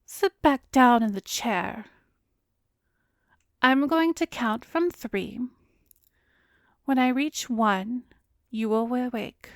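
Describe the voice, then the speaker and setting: A woman acts out a cruel, seductive vampiress in a hypnotic ASMR roleplay. Her voice is fairly high and very dry, close to the ear, often sinking into a breathy whisper. She speaks slowly, teasing and commanding as she savors her power. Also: no echo. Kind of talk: ASMR roleplay audio drama.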